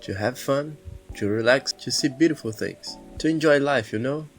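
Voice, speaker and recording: Male actor as easygoing bon vivant for a game, gravelly mid-pitched voice, breezy delivery. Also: moderate pace, soft background music, natural voice. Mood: cheerful. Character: easygoing, pleasure-loving male cafe patron.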